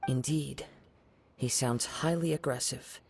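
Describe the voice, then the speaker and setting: A man playing a gentle young wandering swordsman in an anime-style video game, speaking in a measured, formal, soft-spoken manner. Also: mid-range voice, gravelly. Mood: composed, thoughtful.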